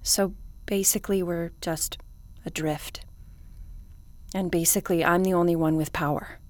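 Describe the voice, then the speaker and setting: A woman's voice: on the low side, steady tone, normal speed, slight background noise, lightly acted. A woman playing a weary, stranded spaceship commander in an audio drama, earnest and dignified. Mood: distraught.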